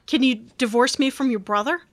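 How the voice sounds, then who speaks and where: Sardonic, slightly high-voiced woman. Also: a little fast, dry recording. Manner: teasing, sardonic. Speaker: podcast guest. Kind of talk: casual comedic group chat.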